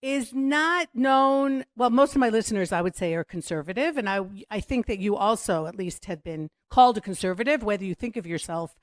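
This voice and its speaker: A woman's voice: medium pitch, fairly quick, few pauses, no echo, studio quality. Chatty woman hosting an interview. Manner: eager, chatty questions. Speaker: podcast host.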